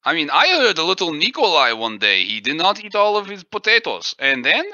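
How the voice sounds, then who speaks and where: Man putting on a bright, swooping Russian miner. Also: a little high, wildly swooping pitch, a little fast, no echo, highs cut off, heavily acted. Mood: mock-grave. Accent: put-on Russian. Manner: mock-solemn, bombastic, broken grammar. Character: boastful, patriotic Soviet Russian uranium miner, comic comrade. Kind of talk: improvised comedy roleplay.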